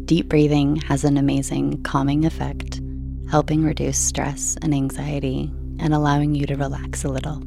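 A woman hosting and narrating a sleep podcast in a calm, quiet, slow and soothing manner. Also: low-pitched, creaky. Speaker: host and narrator. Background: background music.

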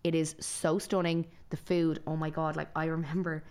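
A woman's voice: sits low, little pitch movement, normal speed, a little mellow, clear, resonant tone. A young woman co-hosting a podcast, chatting and eagerly giving travel tips. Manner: chatty, gushing travel recommendations. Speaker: podcast co-host. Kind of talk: casual podcast chat.

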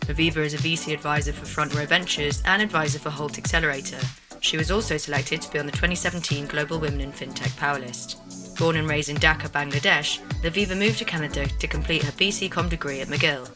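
A woman with a very low voice reads a speaker's introduction at a quick pace. Her delivery is smooth and scripted. Clear music plays under the voice-over. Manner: smooth, read-aloud delivery.

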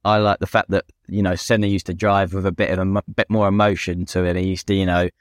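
Low-voiced British man chatting about motorsport. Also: level tone, fast talker, no room sound, clean studio sound. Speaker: podcast co-host and racing driver. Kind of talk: casual motorsport chat.

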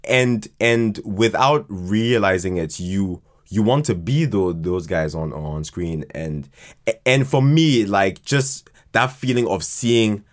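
A man chatting casually as a podcast guest, halting and often repeating words as he explains his ideas. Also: fairly low pitch, lively intonation. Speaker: podcast guest, writer and creator of a fiction podcast. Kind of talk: casual interview chat.

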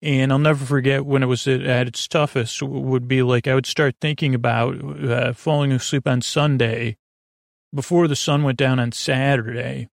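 A man hosting a sleep podcast, talking in a meandering, gentle way with many restarts and fillers. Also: medium pitch, steady tone, moderate pace, creaky, dry recording, clean studio sound. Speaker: podcast host. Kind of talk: rambling bedtime podcast intro.

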